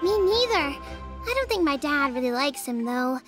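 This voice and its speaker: Feisty young kid, video game acting, very high pitch, animated, blunt, excitable, music behind. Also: female voice, normal speed. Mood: grumbling. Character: feisty, eager young child in a village tribe.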